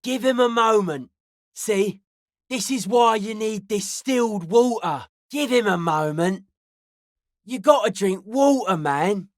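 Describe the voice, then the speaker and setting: An actor voicing a young, earnest, easily spooked counterculture club kid in a video game, with slangy, deadpan drawl. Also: male voice, high voice, slow pace, no echo, clean studio sound. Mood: laid-back, deadpan.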